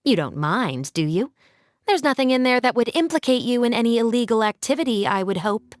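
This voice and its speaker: Animated, prim female officer played by an actress. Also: on the high side, brisk, dry recording, clean studio sound, lightly acted. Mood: stern, formal. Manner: formal, scolding, wry. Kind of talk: video game character acting.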